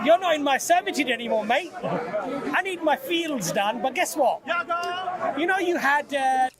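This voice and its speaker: A man arguing about religion in an animated, informal way, emphatic and often interrupting himself. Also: very high-pitched, measured pace. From India, Gujarati family. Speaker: street preacher and debater. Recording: outdoor street recording, busy crowd. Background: crowd and outdoor city noise.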